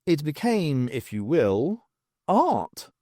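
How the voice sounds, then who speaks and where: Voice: mid-range voice, very animated, slow pace, warm tone, no echo, clean studio sound. An audiobook narrator voicing a pompous man with an airy, affected manner. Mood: airy, pleased. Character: pompous, plaintive man showing off his discovery.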